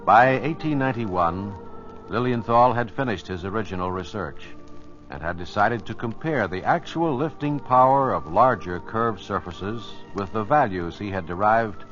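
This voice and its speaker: A man's voice: on the low side, animated, normal speed, a bit bright, faint music behind. A man delivering formal, dramatic scripted narration as a 1950s radio announcer. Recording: muffled old radio transcription.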